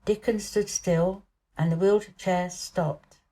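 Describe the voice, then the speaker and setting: A woman storyteller giving an expressive scripted narration of a children's novel. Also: fairly low pitch, slow.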